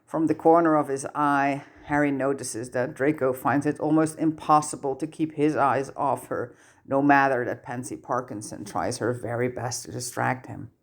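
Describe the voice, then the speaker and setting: A woman's voice: very deep voice, steady tone, normal speed. A woman narrating a piece of fiction from a script, as an audiobook reader does.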